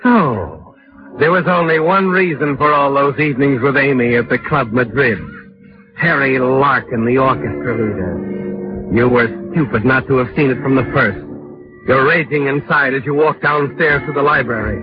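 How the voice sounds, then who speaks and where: A man's voice: medium pitch, normal speed, lightly acted. A male actor playing an ominous, taunting storyteller who narrates a 1940s radio thriller in the second person. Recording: muffled vintage radio broadcast with music.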